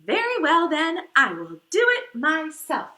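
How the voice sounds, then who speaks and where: High-pitched, industrious little mother hen played by a woman. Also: huge pitch swings, sharp tone, full character voice. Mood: resolute. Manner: singsong, drawn-out calls. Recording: echoey room.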